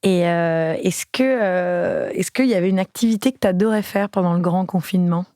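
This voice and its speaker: A woman's voice: fairly low pitch, normal speed, warm tone, no echo, studio quality. A woman hosting a podcast and interviewing a guest in a friendly, chatty way, often hesitating with "euh". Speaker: podcast host.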